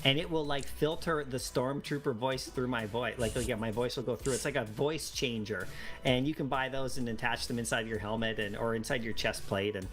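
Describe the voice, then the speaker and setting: A man's voice: mid-range voice, steady tone, brisk, a bit bright. A man chatting casually and enthusiastically as a livestream co-host, rambling along in a loose, easygoing flow. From Canada. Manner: enthusiastic, rambling, chuckles often. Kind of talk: casual chat.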